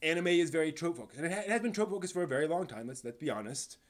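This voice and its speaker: A man's voice: on the high side, expressive, very fast. Man thinking aloud on a live stream. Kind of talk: casual live commentary, answering chat.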